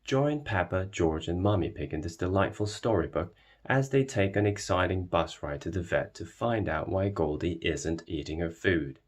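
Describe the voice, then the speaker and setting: A man reading a children's storybook aloud in an expressive way. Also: sits low, moderate pace. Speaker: storybook reader. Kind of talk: scripted children's storybook narration.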